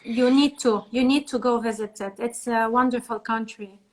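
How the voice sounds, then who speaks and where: A woman with a Lebanese accent chatting casually as a guest coach, earnest and halting, often repeating words. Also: on the high side, steady tone, darkish tone, low-quality recording. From born in Liberia, French Lebanese. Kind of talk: casual chat.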